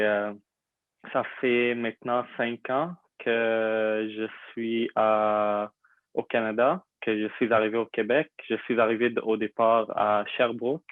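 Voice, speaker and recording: A man's voice: on the low side, no room sound, narrow, tinny audio. A young Syrian man whose first language is Arabic, speaking French as a guest in a podcast interview, hesitant with many fillers and restarts. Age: young adult.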